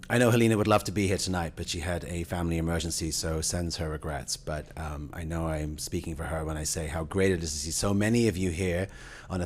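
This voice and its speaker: A man introducing a public event in an informal, conversational and enthusiastic way, with halting phrases. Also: deep voice. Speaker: cultural affairs official introducing event. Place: event room at a university.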